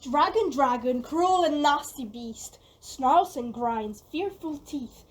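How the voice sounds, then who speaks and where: A woman's voice: sits high, expressive, takes it slowly. A woman dramatically reciting rhyming verse in an audio play, recorded at a distance. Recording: distant, noisy, crowd behind.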